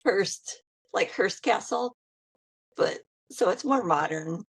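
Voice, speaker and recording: A woman's voice: medium pitch, lively intonation, slow, creaky, breathy edge, no echo. An American woman interviewing a band over a video call in an easygoing, giggly way. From United States.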